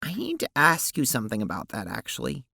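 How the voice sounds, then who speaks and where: A woman playing an anguished, blunt teenage sprite girl in an audio drama, speaking in a hesitant, emotional, halting way. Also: very deep voice, big pitch swings, gravelly, sharp tone, slightly rough, dry recording, studio quality, full character voice. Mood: confused, hesitant.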